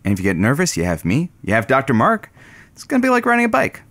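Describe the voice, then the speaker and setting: Joking male radio producer, lively swooping delivery, friendly sidekick banter, audio drama. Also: medium pitch, fast talker, dry recording. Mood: upbeat, reassuring. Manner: bantering, excitable.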